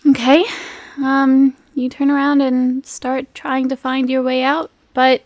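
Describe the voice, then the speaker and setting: A woman's voice: high-pitched, monotone, slow pace, a little mellow, dry recording. A woman acting as game master, narrating an improvised tabletop game in a casual, conversational way.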